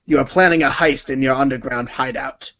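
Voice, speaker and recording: A man's voice: medium pitch, brisk, fairly bright tone, highs cut off. A man narrating a comic read-along story in the second person.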